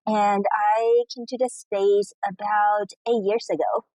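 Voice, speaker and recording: A woman's voice: mid-range voice, flat delivery, takes it slowly, a little mellow, no room sound, poor audio. A woman in her thirties from Taiwan, answering interview questions over a call in a casual way, with many "you know, like" fillers. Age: 30s. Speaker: guest entrepreneur. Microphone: remote call recording.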